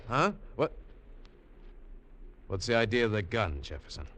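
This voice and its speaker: A man's voice: fairly low pitch, very animated, a little slow, a bit bright, a little hiss or hum. An actor playing an earnest, hard-boiled adventurer who narrates his own tale in a 1940s radio drama. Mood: alarmed. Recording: vintage 1940s radio recording.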